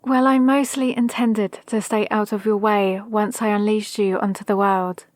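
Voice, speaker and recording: A woman playing a scheming, power-hungry villain mentor in an ASMR roleplay, gently menacing, with measured, formal delivery. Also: mid-range voice, little pitch movement, dry recording, studio quality, natural voice. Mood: probing, composed. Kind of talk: scripted ASMR roleplay monologue.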